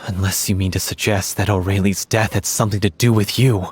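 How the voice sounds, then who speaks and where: An actor playing an earnest, candid troupe performer who speaks sincerely and firmly, in a video game. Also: male voice, on the low side, fairly even intonation, a little fast, husky, no room sound. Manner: sincere, firm.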